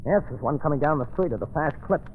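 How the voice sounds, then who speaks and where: Higher-pitched jittery henchman played by a man. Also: animated, fast, lightly acted. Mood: mounting tension. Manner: urgent, clipped reporting. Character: jittery male henchman of a villain. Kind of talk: 1940s radio drama acting. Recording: muffled, noisy old radio recording.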